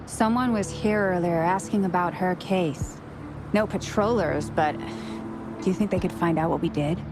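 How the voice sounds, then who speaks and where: A woman's voice: lowish voice, measured pace. A woman voicing an anxious accomplice in a shady scheme in a video game. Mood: worried. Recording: clear background ambient noise.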